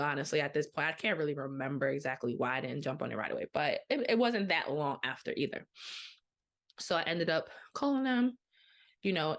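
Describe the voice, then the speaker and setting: A woman in her thirties hosting a podcast, telling a personal story in a casual, chatty way with lively quoted asides. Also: sits low. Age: 30s. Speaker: podcast host. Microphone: podcast microphone.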